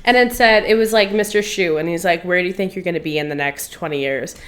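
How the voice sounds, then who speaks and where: A woman co-hosting a podcast, telling stories in a casual, animated way and acting out quotes, indoors on a podcast mic. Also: lowish voice, fast talker. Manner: animated storyteller, acts out quotes. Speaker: podcast co-host. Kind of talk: casual chat. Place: indoor room, recording in person.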